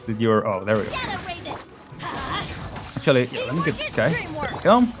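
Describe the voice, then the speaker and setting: A chatty man gives casual let's play commentary in a mid-range voice. His pitch moves around a lot and he chuckles easily. Faint game music plays behind him. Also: big pitch swings, moderate pace. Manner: chatty, often chuckling between remarks. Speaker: gaming video host.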